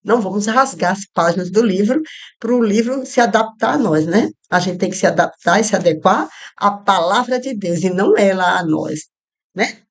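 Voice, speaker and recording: A woman's voice: medium pitch, expressive, normal speed. A woman from Rio Grande do Norte in Brazil, with a Northeastern Brazilian accent, giving a casual Bible study at home and drifting through asides with many fillers and restarts. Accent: Northeastern Brazilian Portuguese. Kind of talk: informal Bible study talk. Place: home, not a studio, with street traffic outside. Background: soft background music.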